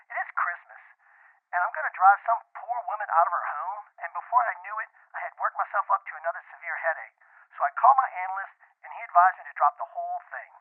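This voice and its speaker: A man's voice: high-pitched, no room sound. A man playing an anxious, guilt-ridden client heard down a telephone line in a radio drama. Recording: thin, dull telephone line.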